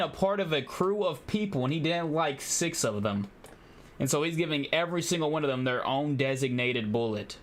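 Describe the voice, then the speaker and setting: A man livestreaming a game, talking to his chat in an animated, slangy way as he thinks aloud. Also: a little high. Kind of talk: game livestream, reading dialogue aloud and commenting.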